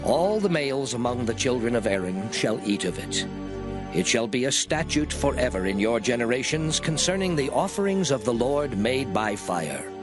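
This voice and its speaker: A man giving a solemn, declarative, measured dramatized reading of old scripture. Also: mid-range voice, very animated. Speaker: scripture reader. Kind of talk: dramatized King James Bible reading, scripted. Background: music.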